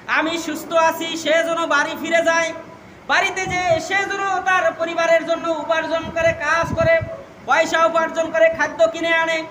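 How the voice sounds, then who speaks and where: A male Islamic preacher with a Bangladeshi Bengali accent speaks in an extremely high, piercing register. His delivery is declamatory and emotional, with phrases repeated for emphasis. The sound carries long reverb over some background noise. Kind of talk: impassioned religious sermon with storytelling.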